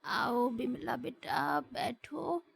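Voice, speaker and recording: A woman voicing a gentle, weary aged mother-in-law in an animated Hindi moral story, with measured speech. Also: a little high, creaky, slightly rough, no echo, clean studio sound, exaggerated voice.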